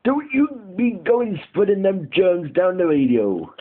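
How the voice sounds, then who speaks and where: A man's voice: high voice, wildly swooping pitch, measured pace, phone-quality sound, slight background noise, voice pushed up, heavily acted. A man voicing a cheeky mock farmer in a drawling, exaggerated English country accent for CB radio prank chatter.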